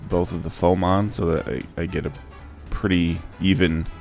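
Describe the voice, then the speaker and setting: A man narrating a casual DIY how-to in an informal, halting, hesitant way. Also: low-pitched, steady tone, darkish tone. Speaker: DIY prop maker and channel host. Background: music.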